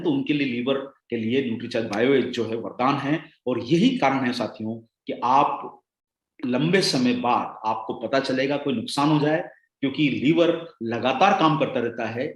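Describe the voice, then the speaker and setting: A man giving a motivational sales training talk, rousing and calling his listeners friends, his voice swinging between loud and hushed. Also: medium pitch, roomy sound.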